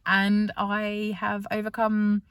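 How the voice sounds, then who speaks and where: A woman's voice: medium pitch, completely flat delivery, slow pace, a bit bright, clean tone, dry recording, studio quality. A British woman vlogger telling a story in a casual, chatty way, full of fillers and restarts. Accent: British English.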